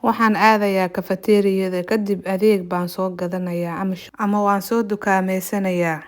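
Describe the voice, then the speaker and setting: Somali woman teacher with medium pitch. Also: level tone, moderate pace. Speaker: language teacher. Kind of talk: lesson translating phrases and explaining words.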